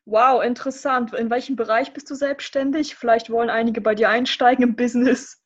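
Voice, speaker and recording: Woman host of a podcast, friendly questions, slightly high voice, muffled lo-fi audio. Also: fairly even intonation, brisk. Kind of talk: podcast interview.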